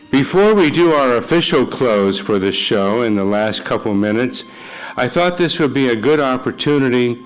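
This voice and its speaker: A man's voice: lowish voice, rough recording. A man with an American accent, speaking as the host and announcer of a live radio theater broadcast. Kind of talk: live broadcast credits and on-stage chat. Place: church with live studio audience.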